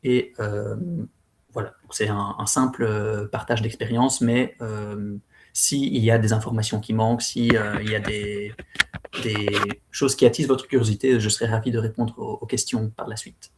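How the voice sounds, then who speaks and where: A man's voice: on the low side, flat delivery, normal speed, background noise. A man in his thirties from Belgium giving an online talk about his experience, with many hesitations and restarts. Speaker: speaker sharing experience.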